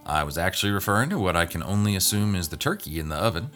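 Storybook son, middle-aged, done by a male narrator: low voice, put-upon, resigned. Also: expressive, racing, fairly bright tone, faint music behind. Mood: dry, pleasant. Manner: mumbling, resigned, dryly sarcastic.

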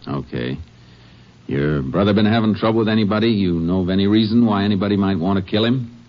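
An actor playing a calm, methodical Texas Ranger in a 1950s western radio drama, American, asking measured, matter-of-fact questions. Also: male voice, lowish voice, close to an everyday voice. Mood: probing, calm. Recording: muffled old radio recording with noise.

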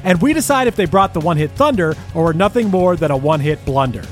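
High-voiced man reading a podcast promo. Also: animated, quick pace, faint music behind.

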